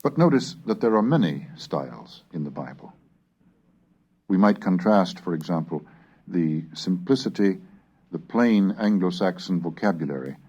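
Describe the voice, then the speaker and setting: A man reading a scripted educational narration in a formal, measured, oratorical manner. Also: deep voice, darkish tone, breathy edge. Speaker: lecturer and reader. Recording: dull lo-fi cassette recording.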